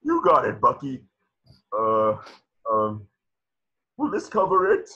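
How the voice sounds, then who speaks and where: A man playing a doddering, bombastic old superhero in a cartoon table reading, with a grandiose, befuddled delivery and a husky voice. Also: medium pitch, wildly swooping pitch, slow, pushed and bright, lo-fi sound, exaggerated voice.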